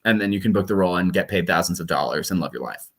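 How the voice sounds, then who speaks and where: A young man from Colorado, a stage performer, chatting casually in an interview with lively, self-deprecating asides. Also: deep voice, rapid-fire. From Colorado, USA. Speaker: guest performer.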